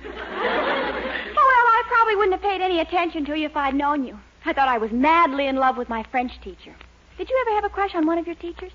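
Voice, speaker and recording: A woman's voice: very high voice, very animated, fairly quick, a bit bright, full character voice. An actress playing a sweet, chatty young girlfriend with a girlish, teasing manner in a 1940s radio comedy. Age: young adult. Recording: noisy muffled 1940s radio, audience behind.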